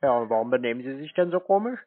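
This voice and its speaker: A man in a phone conversation, answering in a laconic, deadpan manner. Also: mid-range voice, very fast, no room sound. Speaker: prank scam-baiter taking calls. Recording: narrow-band dry phone recording.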